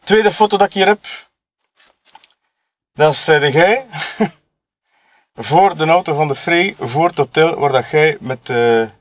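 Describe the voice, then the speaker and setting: A man with a Flemish accent playing a chatty, self-excusing witness in a murder-mystery audio drama, talking colloquially and haltingly. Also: a little high, no room sound, lightly acted. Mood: explaining, insinuating. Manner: colloquial, halting, chuckling between phrases. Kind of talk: improvised-sounding audio drama dialogue.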